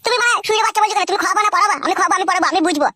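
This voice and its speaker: A man's voice: very high voice, rapid-fire, pushed and bright, dry recording, studio quality. A man voicing a cheeky, hot-headed young village husband in a comic cartoon, whining and arguing back. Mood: defiant. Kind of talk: comic cartoon dialogue in colloquial rural Bengali.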